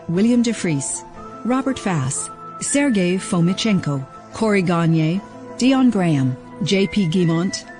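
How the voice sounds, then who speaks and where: A woman narrator reading scripted scene headings and production credits. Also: fairly low pitch.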